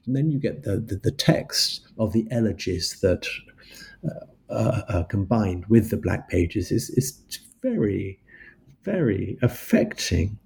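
A man answering interview questions about his book in a measured, halting way, with frequent restarts. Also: lowish voice, creaky, dark tone, breathy edge. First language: English. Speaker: author guest.

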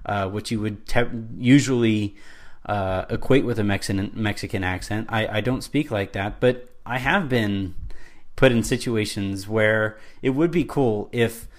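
A man's voice: lowish voice, unhurried. A man co-hosting a sports podcast, talking in a casual, earnest way and building his argument step by step with restarts. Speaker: podcast co-host.

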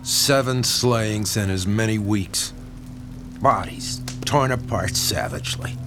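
An actor playing a world-weary, bribed 1930s police lieutenant with a dry, laconic delivery in an audio drama. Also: male voice, lowish voice, slow. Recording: music underneath.

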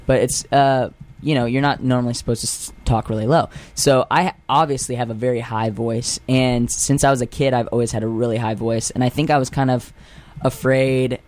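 A man's voice: medium pitch, fairly even intonation, fairly quick, hardly any pauses, darkish tone. Rambling young man, light-hearted radio guest. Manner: rambling and self-deprecating, many fillers and restarts. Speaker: guest singer. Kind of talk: casual radio interview. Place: radio studio.

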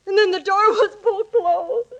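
Radio serial damsel: very high, hysterical, terrified. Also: female voice, moderate pace, lo-fi sound, over a noisy crowd. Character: hysterical, terrified young lady. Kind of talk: 1940s radio acting.

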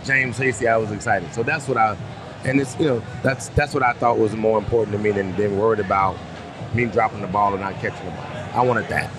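A man's voice: fairly low pitch, steady tone, fairly quick, over music. A man, a former player co-hosting, talks casually about game mindset and often repeats himself when he starts a thought. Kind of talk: casual sports chat. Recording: noisy postgame stadium setting.

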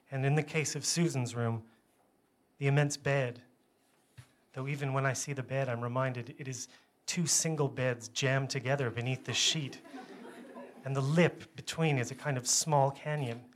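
Guy reading his short story out loud, dry self-deprecating delivery, careful measured phrases, mid pitch. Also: slight background noise. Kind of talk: literary first-person story reading to an audience.

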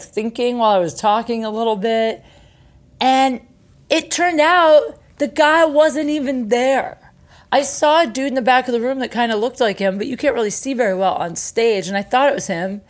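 Chatty, self-mocking woman podcast host. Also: mid-range voice. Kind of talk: casual storytelling monologue with ad reads.

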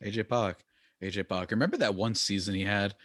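A man co-hosting a casual sports podcast, animated and quick to laugh, often mimicking fans in exaggerated voices. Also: lowish voice, no room sound, clean studio sound.

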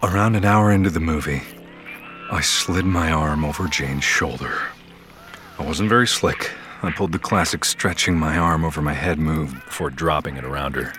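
A low-pitched young guy narrates his own story in an audio drama. He is casual and frank, and music plays clearly behind him. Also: normal speed. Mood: frank, reflective. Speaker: narrator and main character.

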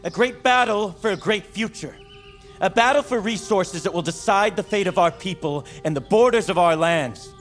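An actor playing the proud, ambitious, defiant young son of a mountain ruler, speaking formally and insistently. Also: male voice, high-pitched, normal speed, a bit bright, faint music behind. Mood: haughty, urgent. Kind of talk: fantasy audio drama acting.

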